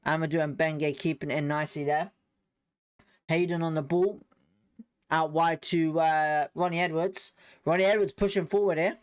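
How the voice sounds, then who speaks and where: A man's voice: a little high, steady tone, moderate pace, clear, resonant tone. A man with a British accent giving informal live football commentary as a fan host, blunt, slangy and sweary in his reactions.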